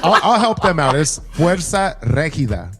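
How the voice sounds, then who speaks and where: A man's voice: fairly high pitch, very animated, moderate pace, slightly rough. A man chatting casually as a podcast guest, playful and emphatic. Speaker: podcast guest, DJ and music curator. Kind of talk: casual group chat.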